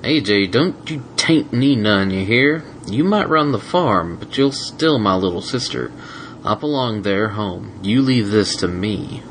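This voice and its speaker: Male reader as a big, gruff farm brother; slow, folksy Southern drawl. Storybook. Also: fairly low pitch, expressive, fairly bright tone, slight background noise. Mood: firm. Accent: Southern US drawl, put on.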